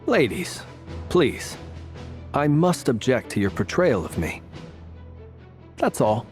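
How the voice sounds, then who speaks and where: A man's voice: medium pitch, big pitch swings, slow pace, darkish tone, soft background music. Suave, playful male charmer. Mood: teasing, mock-wounded. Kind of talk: video game voice acting.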